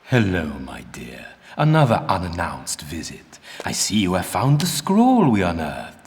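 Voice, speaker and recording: An actor playing a smug, condescending older archaeologist rival in a video game, patronising and pedantic in a theatrical way. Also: male voice, deep voice, wildly swooping pitch, measured pace, heavily acted. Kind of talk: video game villain acting. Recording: reverberant hall, faint background noise.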